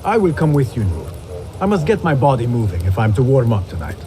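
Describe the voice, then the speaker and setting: An actor playing an eager, earnest young assassin apprentice who speaks respectfully. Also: male voice, medium pitch, wildly swooping pitch, fairly quick, background noise.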